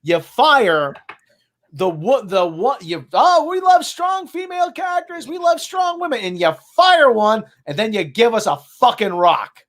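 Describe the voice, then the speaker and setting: A man's voice: very high-pitched, expressive, measured pace, fairly bright tone. A man speaking as a panelist in a casual chat, sardonic and blunt, with some swearing.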